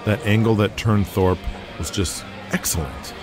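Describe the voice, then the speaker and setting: A man's voice: fairly low pitch, a little slow, over music, natural voice. A man voicing a respectful, starstruck young wrestling fan, hesitant and polite.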